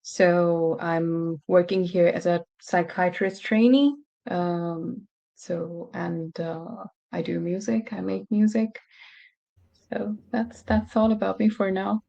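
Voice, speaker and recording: A woman's voice: lowish voice, steady tone, takes it slowly, vocal fry, mellow, dull audio. A young woman from Pakistan, a podcast guest telling her story in an earnest, reflective way. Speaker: guest musician. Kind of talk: podcast interview.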